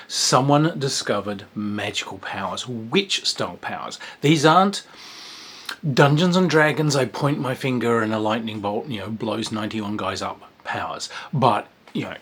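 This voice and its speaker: A man giving a chatty, rambling review of a tabletop role-playing game, breaking into sudden excited outbursts between calmer stretches. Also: on the low side, husky. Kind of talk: casual review monologue.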